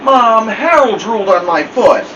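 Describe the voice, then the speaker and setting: A man's voice: sits high, expressive, measured pace, pitched above the performer's own voice. A man voicing a sarcastic, grumbling, whiny boy in a children's storybook. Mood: grudging. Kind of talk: children's storybook character reading. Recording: distant, noisy, dull room recording.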